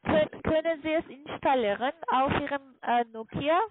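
Foreign accent call center lady, high voice, halting non-native talk, thin phone audio. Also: very noisy. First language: not German. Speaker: call centre agent. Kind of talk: phone call, instructing a customer. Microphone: telephone. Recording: tinny narrowband phone line.

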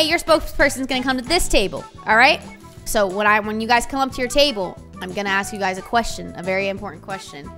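A woman's voice: high-pitched, sharp tone. A young woman, hosting a multiplayer game in a bossy, playful way and repeating herself. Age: young adult. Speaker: game host.

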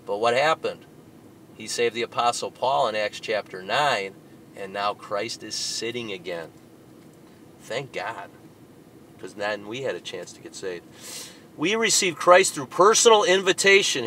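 A man preaching a fiery sermon, reading out a tract and rebutting it, moving between loud, emphatic bursts and low asides. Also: vocal fry, a little hiss or hum.

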